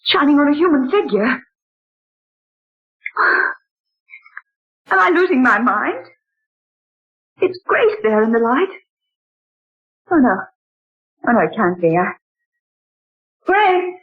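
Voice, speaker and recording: An actress playing a genteel woman recounting a ghostly night in 1940s radio drama style, theatrical and breathy. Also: sits high, sing-song, slow pace, pauses often, full character voice. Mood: frightened. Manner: dramatic, exclaiming. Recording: tinny old radio broadcast.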